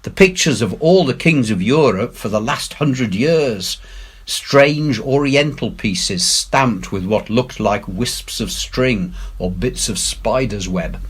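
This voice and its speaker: A man reading a classic adventure story aloud in an expressive, measured way with emphatic phrasing. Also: mid-range voice, husky. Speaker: head teacher reading aloud.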